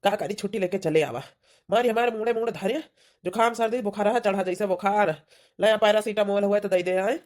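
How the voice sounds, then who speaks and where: A woman's voice: mid-range voice, fairly quick, a little mellow, natural voice. A woman voicing a sick, grumbling, bossy village husband in an Awadhi-accented comedy cartoon. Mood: ailing, complaining. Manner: complaining, bossy.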